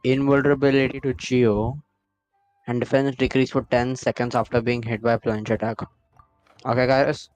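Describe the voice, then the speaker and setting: A man's voice: mid-range voice, flat delivery, moderate pace, darkish tone, faint music behind, low-quality recording. A man chatting casually while he plays a game on a live stream. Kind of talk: casual gameplay chat.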